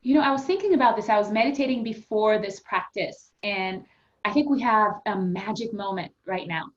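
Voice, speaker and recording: A woman's voice: mid-range voice, animated, normal speed. A woman from Haiti, a yoga health coach, speaking earnestly and reflectively as a guest in a conversational interview. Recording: muffled lo-fi audio.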